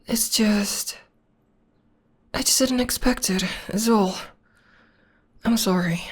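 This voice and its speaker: Girl doing a sweet witch gf whispering right up close, breathy and slow, super gentle. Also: mid-range voice. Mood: soothing. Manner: soft, often whispered, soothing. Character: gentle young witch girlfriend comforting her demon partner. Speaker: voice actress. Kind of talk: ASMR audio roleplay.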